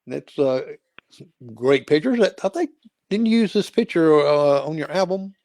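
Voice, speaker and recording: An older man hosting an interview show, chatting casually and halting with fillers and restarts. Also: on the high side, animated, darkish tone, no room sound. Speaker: talk show host.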